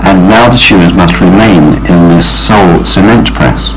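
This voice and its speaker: Male narrator, low register, formal and precise, for a 1970s instructional film with music underneath. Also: unhurried, poor audio. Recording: 1970s training film soundtrack.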